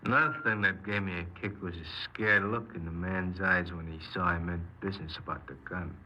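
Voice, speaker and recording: A man's voice: deep voice, fairly even intonation, brisk, dull audio, natural voice. An actor playing a cold, boastful, menacing criminal confessing his robberies in a 1950s radio crime drama. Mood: smug, recounting. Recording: noisy 1950s radio recording.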